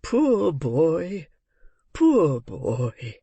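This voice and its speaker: Storybook old gentleman played by a man: kind-hearted, brisk, fussily emphatic, dry close sound. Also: a little high, wildly swooping pitch, slightly rough, dry recording, heavily acted. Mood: tender, fussy. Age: older. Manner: emphatic, repetitive, questioning with "eh?".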